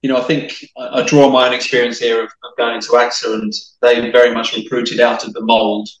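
A man's voice: medium pitch, level tone, fairly quick, far from the mic, lo-fi sound. Chatty man, echoey and off-mic. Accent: mixed, hard to place. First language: Italian. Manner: chatty, many restarts and repeated words. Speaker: guest. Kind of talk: casual interview chat.

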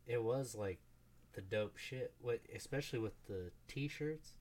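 A man in his twenties chatting casually, slangy and animated when telling a story. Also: mid-range voice, measured pace. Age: 20s. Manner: animated storyteller, slangy, calls people dude. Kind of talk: casual chat.

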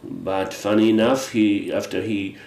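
A middle-aged man with a Polish accent talks through his thoughts slowly, stopping and restarting as he searches for words. His voice sits a little low. The recording has an echoey, roomy sound. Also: steady tone, darkish tone. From Poland. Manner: halting, restarts often, reflective. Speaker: guest. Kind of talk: casual interview chat.

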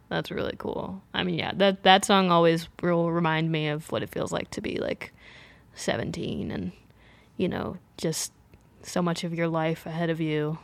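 A soft-spoken woman with a low voice and plenty of vocal fry, chatting casually as a musician guest. She laughs readily, and the sound is dry and close, with no room around it. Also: normal speed, no room sound. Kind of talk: casual interview chat.

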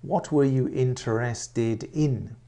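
Slow gravelly man, English-Welsh accent. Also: mid-range voice, dark tone. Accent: mix of English and Welsh. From England. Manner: repeats words and asks the listener questions. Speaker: English teacher. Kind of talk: slow, simple English for learners.

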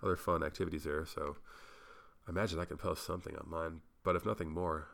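A man's voice: very deep voice, steady tone, fast, creaky, a bit bright. A young man hosting a solo podcast, rambling casually and swearing, sounding a little congested. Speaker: podcast host.

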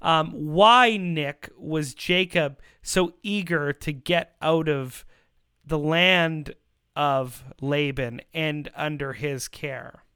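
Bright, clear-voiced man hosting a podcast. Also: a little high, very slow, no room sound, clean studio sound. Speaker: podcast host. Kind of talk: casual podcast chat. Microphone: close microphone on a remote call.

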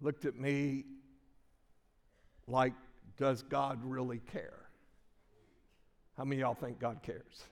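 A man's voice: mid-range voice, level tone, slow pace, creaky, long pauses. An older man preaching a sermon, telling a folksy personal story with frequent restarts and fillers. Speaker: pastor. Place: church sanctuary. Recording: long hall reverb.

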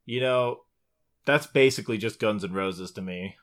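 A man's voice: lowish voice, moderate pace, a bit bright. A man co-hosting a podcast, talking informally about video games and swearing casually. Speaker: podcast co-host.

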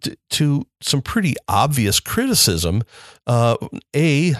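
A man's voice: medium pitch, sing-song, slow, no room sound, studio quality. A man hosting his own unedited solo podcast from home, talking through many fillers and restarts. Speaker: podcast host. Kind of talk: unscripted solo podcast talk. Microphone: close desk mic.

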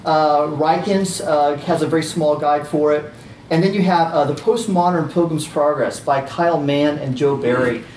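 Brief: male teacher with a slightly high, squeaky voice, rambling lecture, recorded from across an echoey room. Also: normal speed, darkish tone, slight background noise. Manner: chatty, many fillers and restarts. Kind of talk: casual classroom lecture. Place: classroom. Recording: roomy, distant, faint ambience.

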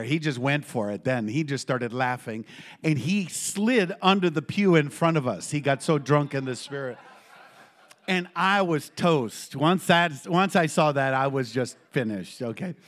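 A middle-aged man preaching to a congregation in a church, telling a personal story with lively call-and-response. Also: fairly high pitch. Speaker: pastor.

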